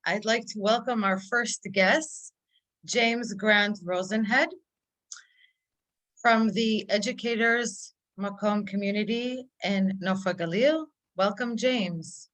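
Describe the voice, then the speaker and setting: A woman hosting a video meetup, welcoming a guest in a slow, deliberate way. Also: medium pitch, steady tone, a bit bright, rough recording. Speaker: host. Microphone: video call.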